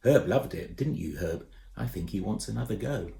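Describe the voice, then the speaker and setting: A man's voice: fairly low pitch, expressive, normal speed, vocal fry. A man voicing a bold, cheeky inventor girl in a storybook reading, breezy and teasing. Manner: breezy, teasing, shouting from afar. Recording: roomy echo.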